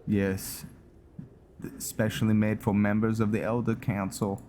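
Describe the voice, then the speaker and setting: A man's voice: lowish voice, flat delivery, a little slow, slight background noise. A man giving casual roleplay game commentary, muttering and thinking aloud as he goes. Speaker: gameplay host. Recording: loud background music. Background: loud music.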